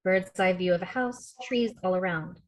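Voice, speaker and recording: A woman's voice: fairly low pitch, normal speed, slight background noise. A woman reading stage directions as the narrator at a film script table read. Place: remote video call rehearsal.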